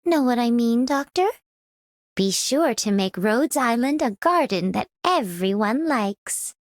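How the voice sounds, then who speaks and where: Coy catgirl from a game, high voice, playful hints, dry studio. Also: animated, a little slow, no echo. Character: coy independent cat-like young woman, playful. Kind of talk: video game character voice lines.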